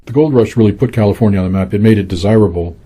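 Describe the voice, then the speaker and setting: Male curator, interview soundbite, dark and husky, quick relaxed talk. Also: on the low side. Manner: relaxed explaining with fillers. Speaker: museum curator. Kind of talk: interview clip.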